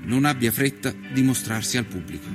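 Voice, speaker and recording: A man performing an earnest nineteenth-century Italian journalist who recounts his travels in a measured, solemn, literary radio monologue. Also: medium pitch, loud music behind the voice, natural voice. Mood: reflective. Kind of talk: scripted dramatic narration, radio storytelling.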